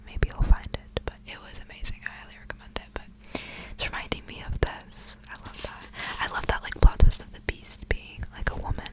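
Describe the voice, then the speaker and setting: A woman speaks entirely in a whisper, in the manner of an ASMR host. Her delivery is soft, hushed and gentle, suited to reading stories aloud. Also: a little fast, few pauses, poor audio.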